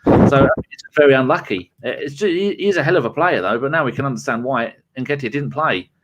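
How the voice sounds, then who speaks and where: A man's voice: medium pitch, a little fast, muffled recording, heavy background noise. Rambling, jokey man on a podcast. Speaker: podcast co-host. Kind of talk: casual football chat.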